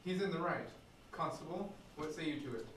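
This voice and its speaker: A man's voice: a little high, fairly quick, fairly bright tone. A man, a student, reading old stage dialogue aloud in a classroom, away from the microphone. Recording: echoey room, distant mic, faint noise.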